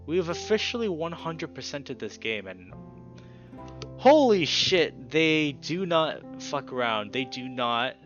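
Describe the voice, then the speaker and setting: A man giving casual, emphatic game commentary as a let's play host, pausing dramatically and swearing mildly. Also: fairly high pitch, very animated, fairly bright tone, lo-fi sound. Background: guitar music.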